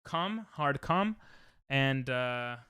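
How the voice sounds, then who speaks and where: A young man with a New York accent, streaming a game and chatting casually with friends, joking and getting excitable, often trailing off mid-sentence. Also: medium pitch, very slow, clear, resonant tone, dry recording, clean studio sound. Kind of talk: casual gaming stream chat.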